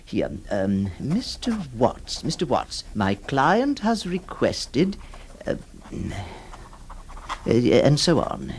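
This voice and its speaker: Radio drama: a stammering, exasperated lawyer, pitch swinging wildly, faint hiss. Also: male voice, huge pitch swings, drawn-out words, full character voice. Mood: flustered. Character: flustered, hesitant older male lawyer. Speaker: narrator and lawyer employer.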